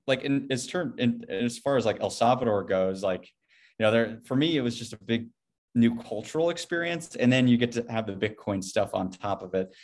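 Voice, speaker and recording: A man's voice: medium pitch, lively intonation, normal speed, darkish tone. A man speaking as a podcast guest in a casual interview, rambling a bit and often hedging with "you know". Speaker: podcast guest, Bitcoin company CEO.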